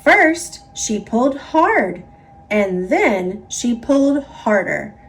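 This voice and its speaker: A woman with an American accent narrating a children's picture book in an expressive, emphatic read-aloud style. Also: on the high side, slow, rough recording. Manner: expressive, emphatic, repetitive build-ups. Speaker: storybook narrator.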